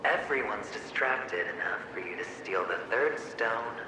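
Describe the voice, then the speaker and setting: A man's voice: lowish voice, lively intonation, measured pace. An actor playing the mocking inner double of a young man, taunting and slipping into hissed whispers, his voice echoing and distant. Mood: scheming. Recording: heavy echo, distant, game ambience noise.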